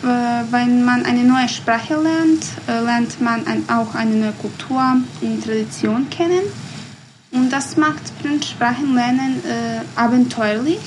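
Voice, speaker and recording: A young woman with a Bosnian accent speaks slowly and carefully in her second language, pausing often and filling gaps as she searches for words. Her voice sits fairly high. Steady background noise runs clearly under the recording. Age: 20s. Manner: careful, hesitant speech with many pauses and fillers. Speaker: guest, poet. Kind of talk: podcast interview.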